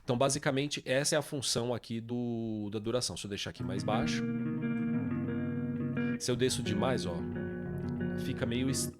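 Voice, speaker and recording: A man's voice: medium pitch, normal speed, pauses often. A man with a Brazilian accent hosting an informal music production tutorial, explaining step by step and often checking understanding with short tag questions. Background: guitar samples and music played from software.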